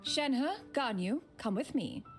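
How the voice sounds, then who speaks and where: A proud immortal crane lady, speaks in a fairly high, lively voice with stately, archaic formality. She sounds like a caring fantasy game elder, with music underneath. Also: slow pace. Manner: stately, archaic, calls herself "one". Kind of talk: animated fantasy video game voice acting.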